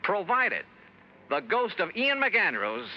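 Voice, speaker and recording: An American man playing a shrewd, self-assured detective in 1950s radio drama, speaking in a wry, knowing, deliberate way. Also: high-pitched, wildly swooping pitch, heavily acted. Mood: wry, firm. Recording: dull 1950s radio recording, faint music.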